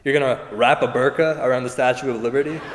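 An opinionated male panelist played by an actor argues in a conversational way in a staged debate. The mid-pitched voice echoes through a large hall with long reverb. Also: steady tone, fast talker, a little hiss or hum, close to an everyday voice. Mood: incredulous. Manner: conversational, argumentative.

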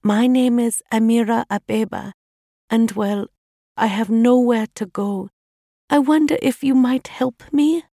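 A woman voicing a gentle young princess in a storybook, with an earnest, pleading manner. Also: on the high side, a little slow, no room sound, studio quality, pitched above the performer's own voice. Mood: nervous. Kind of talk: storybook character voice.

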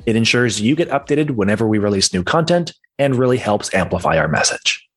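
A man's voice: lowish voice, expressive, brisk, fairly bright tone, breathy edge, soft background music. A man hosting a podcast, reading a scripted introduction and then chatting with his guest. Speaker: podcast host. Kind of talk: podcast interview.

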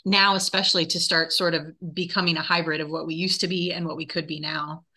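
A woman's voice: deep voice, level tone, fast, pushed and bright. An American woman in her forties from North Carolina, answering questions as an interview guest in a conversational way. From North Carolina, United States. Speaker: interview guest, actor and movement teacher.

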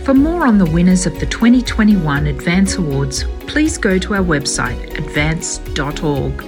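Woman announcer, lowish voice, measured scripted outro over clear music. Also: lively intonation. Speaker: podcast announcer.

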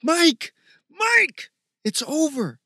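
A man voicing an excitable young male friend in an audiobook, with slangy, casual, emphatic speech. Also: fairly high pitch, unhurried, husky, no room sound, studio quality, full character voice. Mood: urgent shouting.

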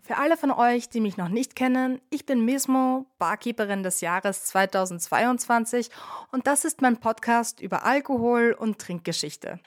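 A woman's voice: on the high side, normal speed, no room sound, studio quality. A woman hosting her own podcast, speaking with a cold, telling history in a playful, wry scripted narration with ironic asides. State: has a cold. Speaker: podcast host, bartender.

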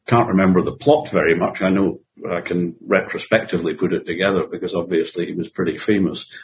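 An older man from Scotland with a Scottish accent, a guest in a radio interview, speaking in a relaxed, dry and hesitant way with many fillers. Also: deep voice, quick pace, slight background noise.

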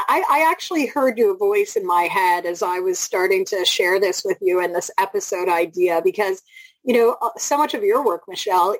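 Slightly husky woman podcast co-host. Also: mid-range voice, dry recording, rough recording. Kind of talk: conversational podcast talk.

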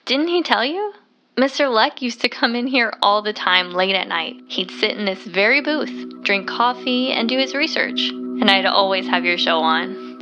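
A woman's voice: medium pitch, animated, normal speed, pushed and bright, soft background music. A woman playing a quirky, earnest waitress and devoted fan in an audio drama, chatty and gushing. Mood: earnest, enthusiastic.

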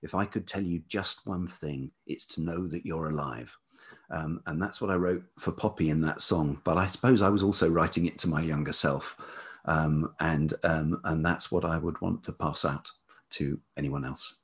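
A man speaking as an interview guest in a reflective, conversational way, thoughtful and halting as he searches for words. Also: very deep voice, breathy edge. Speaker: interview guest, writer and musician.

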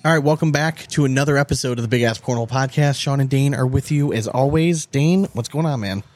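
A man's voice: medium pitch, quick pace, a little mellow, faint music behind. A man co-hosting a podcast in casual, laid-back banter, sometimes drawing out his phrases. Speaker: podcast co-host.

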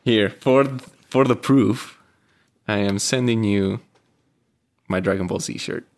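A man's voice: lowish voice, slow pace, gravelly, a little mellow. Man with a Venezuelan Spanish accent. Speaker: podcast co-host. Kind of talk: casual chat. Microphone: remote call.

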